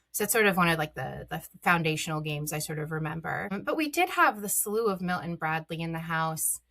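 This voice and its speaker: A woman's voice: deep voice, a bit bright. A middle-aged woman, a guest on a podcast, talking casually and chattily with plenty of ums and uhs. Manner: chatty, many "um" and "uh" fillers. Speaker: podcast guest, content creator.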